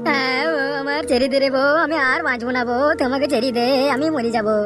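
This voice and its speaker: A henpecked, hard-drinking cartoon husband, acted by a man, in a high, pitched-up voice that races along at extreme speed. He whines, pleads and makes sly excuses like a coward, with music behind him. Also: fairly even intonation, fast. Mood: pleading. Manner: pleading, wailing, excuse-making. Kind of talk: comic Bengali cartoon dialogue.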